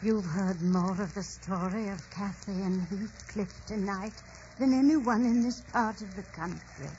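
A woman's voice: medium pitch, measured pace, a little mellow, natural voice. An actress playing a sorrowful female servant tending her delirious master in a solemn radio drama. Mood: solemn, mournful. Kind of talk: 1940s radio drama acting. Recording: dull, hissy old radio broadcast.

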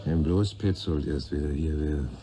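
An actor playing a patient senior police detective in a German radio play, speaking in a dry, probing manner. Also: male voice, very deep voice, moderate pace, noisy background. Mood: businesslike.

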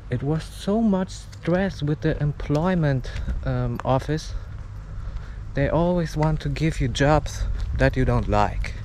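A young man chatting casually in halting, simple phrases as he records a walking vlog. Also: fairly high pitch, a little mellow. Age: young adult. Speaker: walking vlogger. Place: outdoors, suburban street and park path. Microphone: action camera at arm's length, no windscreen. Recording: windy outdoor camera mic, street noise.

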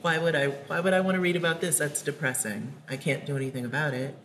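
Woman, low voice, writing trainer, encouraging questions, mock interview, faint room hum. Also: fairly even intonation, fast talker, fairly bright tone. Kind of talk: coaching a student in a mock interview. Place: classroom workshop, indoors.

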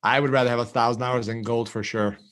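Animated middle-aged man, Greek speaker. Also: medium pitch, quick pace. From born in Greece, lived in the United States. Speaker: podcast guest, marketing agency founder. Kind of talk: casual chat. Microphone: video call.

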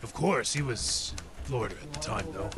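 A gravelly veteran detective. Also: male voice, lowish voice, lively intonation, moderate pace. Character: hardened veteran male city detective. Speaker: Detective Henry Reeves. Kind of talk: old time radio drama acting. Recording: hissy background noise.

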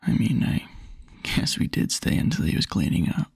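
A man playing a tender, wistful young boyfriend in an intimate ASMR roleplay, murmuring softly and haltingly in a husky near-whisper. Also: no room sound, clean studio sound, natural voice. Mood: amused, sleepy. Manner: soft, halting, intimate murmur with small chuckles. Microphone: close microphone, dry.

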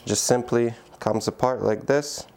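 Smooth-voiced man explaining a product. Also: mid-range voice, fairly even intonation, unhurried, darkish tone. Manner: plain, practical, many "uh" fillers. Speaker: product demonstrator. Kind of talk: how-to demonstration. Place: indoor room by a door.

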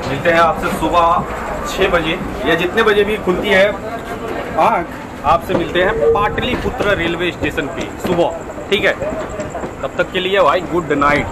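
A man's voice: high voice, a little slow. A young man with a slightly raised voice chats loosely and directly to his viewers, full of fillers. He films himself on an action camera at arm's length on an open railway platform, and heavy train and station noise presses in behind him. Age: young adult. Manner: chatty, talks straight to viewers, many fillers. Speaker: travel vlogger. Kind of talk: casual vlog chat to camera.

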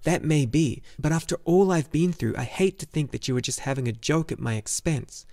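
A man's voice: mid-range voice, normal speed, dark tone, pitched above the performer's own voice, natural voice. A man voicing an earnest, bewildered young hero in a fantasy audiobook, speaking in an urgent, questioning, emphatic way. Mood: indignant. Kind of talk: fantasy audiobook character dialogue.

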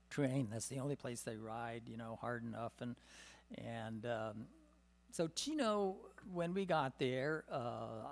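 Older man with a gravelly voice, reminiscing. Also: medium pitch. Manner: storytelling with many "uh" and "um" fillers, chuckling. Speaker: symposium panelist. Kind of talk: rambling personal reminiscence. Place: meeting room, seated at a table. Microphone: desk microphone on a stand.

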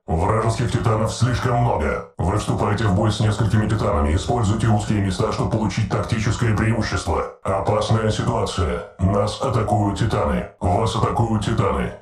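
Male actor as giant battle robot, male, deep-leaning, formal, clipped military alerts, echoing game audio. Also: brisk, hardly any pauses, a little mellow, distant mic, faint music behind, lightly acted. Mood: stern, alert. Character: male combat robot titan AI, stern tactical advisor. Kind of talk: short scripted combat callouts.

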